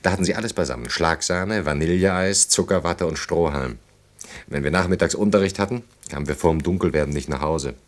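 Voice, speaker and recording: A man acting an established older German gentleman in a radio play. His voice is low, and he talks drily and mockingly. He needles his partner and slips readily into nostalgic reminiscence. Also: fairly quick, natural voice.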